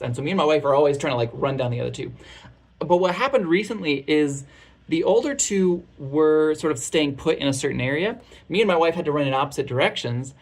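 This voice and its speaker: A man in his thirties giving a casual product review in a chatty, informal and candid way. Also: fairly high pitch.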